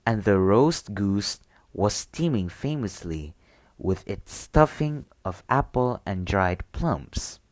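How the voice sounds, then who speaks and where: A man reading a bedtime story as ASMR, with a gentle, deliberate storybook delivery. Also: fairly low pitch, dry recording.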